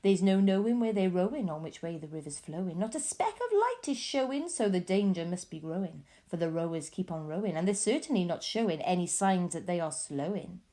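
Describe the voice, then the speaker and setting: A woman voicing an eccentric, excitable male chocolate maker in a storybook read-aloud, talking in a theatrical, sing-song way. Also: on the low side, brisk, hardly any pauses, pitched below the performer's own voice. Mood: gleeful.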